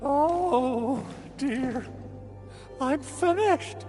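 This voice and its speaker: A man voicing an excitable, melodramatic chief engineer in a video game, effusive and easily flustered. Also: very high voice, very slow, long reverb tail, over music, full character voice. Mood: nervous dread.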